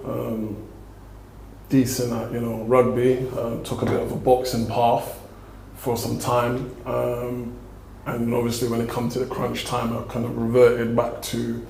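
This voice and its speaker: Casting note: young South London man, gravelly and monotone, loose rambling talk, roomy echo. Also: mid-range voice. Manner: laid-back, rambling answers. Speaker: guest. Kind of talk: casual interview. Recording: echoey room with faint noise.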